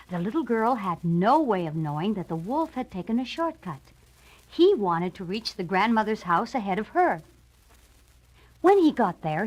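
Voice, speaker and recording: A woman's voice: mid-range voice, a little mellow, low-quality recording. A woman reading scripted narration for a children's read-along storybook.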